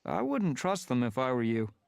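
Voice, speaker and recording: A man putting on a lazy, squeaky house tomcat. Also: sing-song, moderate pace, vocal fry, clear, resonant tone, no echo, faint music behind. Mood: worried. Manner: high eager squeaky mewing.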